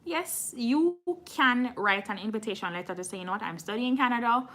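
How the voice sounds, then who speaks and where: A woman's voice: on the high side, lively intonation, a little fast, bright, forceful tone. Chatty woman hosting a live Q&A. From Jamaica. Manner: chatty, frank about what she doesn't know, reads viewer questions aloud. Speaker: immigration channel host. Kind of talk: live stream Q&A, answering viewer chat.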